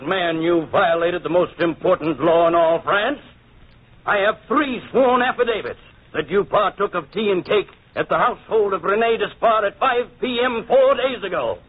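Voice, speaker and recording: Stern officious court man in a radio play, raspy, highish, tinny old recording. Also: exaggerated voice. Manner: stern, demanding. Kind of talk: old-time radio drama acting.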